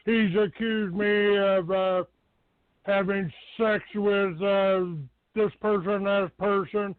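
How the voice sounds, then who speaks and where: A man with a distinctly high voice hosts a call-in radio show. He is blunt and combative, quick to brush callers off. The sound is dry and narrow, like a telephone. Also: level tone, clean tone, no room sound. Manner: blunt, combative, dismissive, swearing. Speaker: talk show host taking calls. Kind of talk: live internet radio call-in talk. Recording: narrow phone-like low-fidelity internet radio sound.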